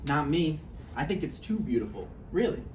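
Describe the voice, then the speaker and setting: A woman's voice: low-pitched, sing-song, normal speed, darkish tone. A woman playing a nervous, joking boyfriend in a stage play table read, conversational, hesitant and apologetic. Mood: defensive. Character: Roger, nervous joking boyfriend, defensive and eager to please. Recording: roomy, distant mic, faint noise.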